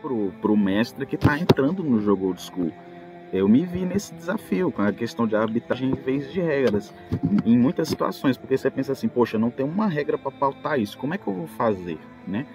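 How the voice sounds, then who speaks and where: Brazilian male, medium pitch, conversational podcaster who asks rhetorical questions, clear music bed underneath. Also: sing-song, a little fast. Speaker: tabletop role-player, podcast speaker. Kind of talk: casual podcast chat.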